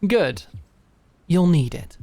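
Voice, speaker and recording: A woman acting in an audio drama as a calm, commanding knight who mentors with measured, deliberate instruction and encouragement. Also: on the low side, dry recording, full character voice. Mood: calm, guiding. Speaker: voice actor.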